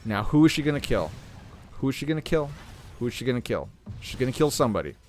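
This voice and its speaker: A man hosting a gaming channel, talking casually through a game while playing, clearing his throat as he is losing his voice. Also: medium pitch, quick pace. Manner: relaxed, thinking aloud while playing. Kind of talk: casual gameplay commentary.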